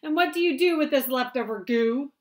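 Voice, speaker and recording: A woman reading a picture book aloud, voicing a small grumpy old male forest creature who coughs and scolds slowly. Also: sits high, fairly bright tone, voice pushed up. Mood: scolding. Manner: scolding, sniffling, slow and drawn out.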